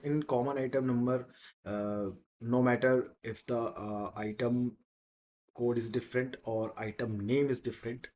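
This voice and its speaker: A man teaching in a software demo, explaining step by step in a halting, repetitive way. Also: mid-range voice. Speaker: software instructor.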